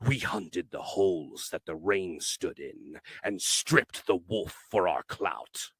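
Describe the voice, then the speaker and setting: A man reciting poetry dramatically, in a solemn, declamatory manner with measured phrasing. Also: sits low, lively intonation, a bit bright, breathy edge, dry recording. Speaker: poetry reader.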